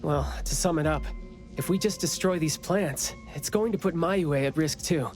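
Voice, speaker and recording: A man's voice: a little high, moderate pace, darkish tone, faint music behind, close to an everyday voice. An actor playing a glib, analytical young male investigator with a teasing wit in a video game. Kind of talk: English video game voice acting.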